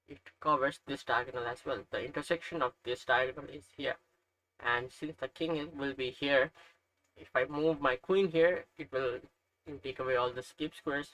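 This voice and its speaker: Young man, Nepali accent, bright edgy voice, hesitant thinking aloud, dry close sound. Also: medium pitch, dry recording, rough recording. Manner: thinking aloud, hesitant with frequent uhs and self-corrections. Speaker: chess learner narrating puzzles. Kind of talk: casual screen-recorded commentary.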